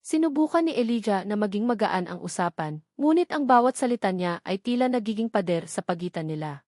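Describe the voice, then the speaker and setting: A woman's voice: medium pitch, expressive, fairly quick, a little mellow, no echo, clean studio sound. A woman narrating a dramatic love story from a script.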